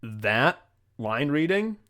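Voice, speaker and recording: An American man hosting a comedy podcast, talking casually in an animated, sweary way. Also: mid-range voice, slow. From United States. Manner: animated, sweary, laughs readily. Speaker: podcast host.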